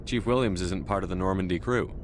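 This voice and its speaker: An actor playing a stoic, dutiful space marine commander in a video game, with terse, controlled speech. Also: male voice, deep voice, fast, slight background noise, natural voice. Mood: stoic, firm. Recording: clear music behind.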